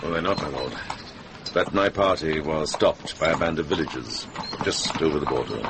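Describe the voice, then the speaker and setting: Very deep, shrewd monk. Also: male voice, moderate pace. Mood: measured, shrewd. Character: shrewd, worldly older Welsh monk, dry and watchful. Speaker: actor in a radio drama. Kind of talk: radio drama dialogue. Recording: noisy vintage radio drama recording.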